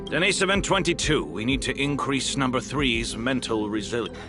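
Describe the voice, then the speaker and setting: An actor playing a protective, geeky research scientist in a video game, explaining things earnestly and a little hesitantly over music. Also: male voice, medium pitch, animated, moderate pace, close to an everyday voice. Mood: concerned, pleading. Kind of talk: video game dialogue acting.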